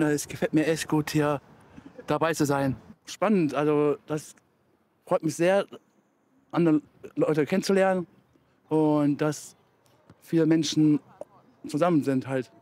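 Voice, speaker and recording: A man's voice: a little high, a little mellow. A man giving short, hesitant interview answers. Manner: hesitant, halting. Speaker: football player.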